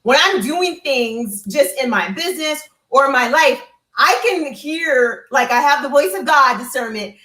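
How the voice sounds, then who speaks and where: A woman's voice: high voice, expressive, measured pace, sharp tone. A woman giving a casual, heartfelt personal testimonial as a guest, talking effusively and conversationally. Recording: roomy, echoey sound.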